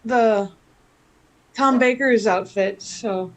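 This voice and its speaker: A woman's voice: on the high side, takes it slowly, low-quality recording. A woman chatting casually as a panelist. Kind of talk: casual chat.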